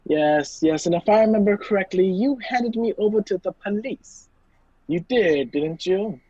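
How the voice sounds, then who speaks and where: A husky, very animated gentleman hero of a radio play, acted by an amateur. He is polite, wry and easily flustered, halting and exclaiming. The audio is dull, dry video-call sound. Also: mid-range voice, dry recording. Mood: wry, urgent. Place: indoors, performed over a video call.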